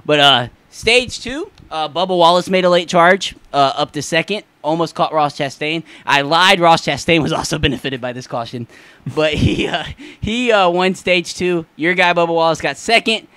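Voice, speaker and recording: A man's voice: a little high, moderate pace, pushed and bright, clean tone, dry recording. A young man co-hosting a casual sports podcast, rambling and joking, laughing often. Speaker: podcast co-host.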